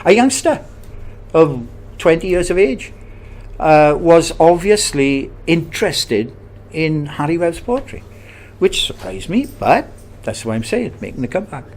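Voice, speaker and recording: A man from Merthyr with a South Wales accent gives a slow, halting interview answer with many restarts. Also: a little high, sing-song, darkish tone. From Merthyr Tydfil, Wales.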